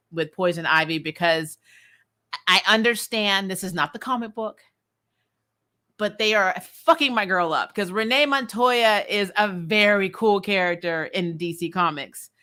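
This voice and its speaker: Woman, sharp bright tone, casual livestream host, outspoken, sweary, laughter-prone, chatty review commentary. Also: medium pitch. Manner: blunt, opinionated, sweary; laughs often while talking. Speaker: host of a comics TV recap show.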